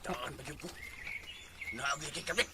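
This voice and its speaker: A man's voice: on the high side, moderate pace, close to an everyday voice. A man acting a blunt everyday character in a radio drama. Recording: very noisy radio drama with ambience.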